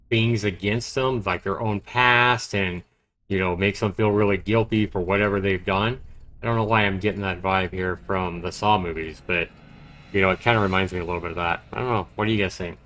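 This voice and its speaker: A man's voice: fairly low pitch, fairly even intonation, normal speed, a bit bright. A man livestreaming a horror visual novel, reading it aloud dramatically and chatting casually about it. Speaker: livestreamer playing and narrating a game.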